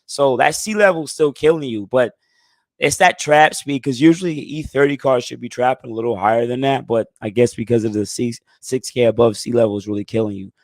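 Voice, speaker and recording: Hoarse laid-back man in his thirties. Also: medium pitch, brisk, a little mellow, no echo. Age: 30s. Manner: laid-back, conversational, frequent "you know what I'm saying". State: hoarse, recovering lost voice. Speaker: channel host answering live chat. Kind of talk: casual live stream Q&A.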